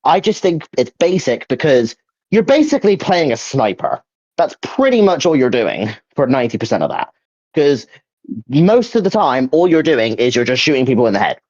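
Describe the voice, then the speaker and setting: A man co-hosting a gaming discussion, giving casual opinions in a halting way as he searches for words. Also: medium pitch, big pitch swings, darkish tone, dry recording, highs cut off.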